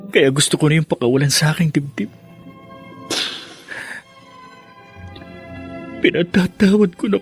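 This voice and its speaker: A man's voice: mid-range voice, lightly acted. An actor playing a torn young man grieving his mother, speaking earnestly and pleadingly in a radio drama. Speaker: son.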